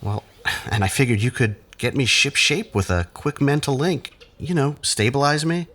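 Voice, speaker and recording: A man's voice: mid-range voice, normal speed, fairly bright tone, lightly acted. A man acting in an audio drama as an exhausted, agitated man in withdrawal, stammering and sighing, pleading and bitter. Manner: stammering, sighing, self-pitying. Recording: faint background noise.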